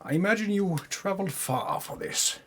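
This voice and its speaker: A man's voice: high voice, animated, normal speed, a bit bright. A man giving a mock-solemn, theatrical dramatic reading as the storyteller of a tabletop campaign, pompous with comic self-correcting asides.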